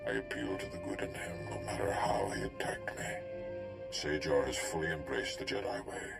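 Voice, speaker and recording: An actor playing a wise, solemn old Jedi Master in a video game, deep and gravelly, speaking in a measured, formal way. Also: male voice, sing-song, over loud music, exaggerated voice. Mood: grave, assured.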